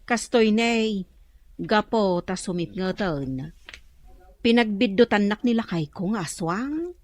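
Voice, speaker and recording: A woman playing a scolding, exasperated wife and mother in a comedic radio drama, shrill and nagging, with an Ilocano accent. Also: animated, slow pace. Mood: grumbling.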